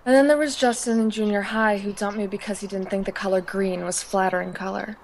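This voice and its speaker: A woman's voice: medium pitch, fairly even intonation, brisk, a little hiss or hum, close to an everyday voice. A woman acting an insecure, romantically unlucky teenage schoolgirl in an amateur audio drama, self-pitying and hesitant. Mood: sulky, defensive.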